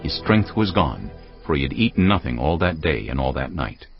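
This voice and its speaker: A man's voice: extremely low pitch, sing-song, normal speed. A man reading scripture as the narrator of a dramatized audio production, in a scripted storytelling style. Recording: muffled studio reading with faint music.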